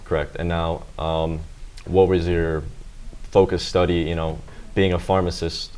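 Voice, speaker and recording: Deep-voiced teenage boy interviewer. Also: level tone, measured pace, darkish tone, clear, resonant tone. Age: teens. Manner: rambling, many fillers and "you know"s. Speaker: student interviewer. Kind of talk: casual interview questions.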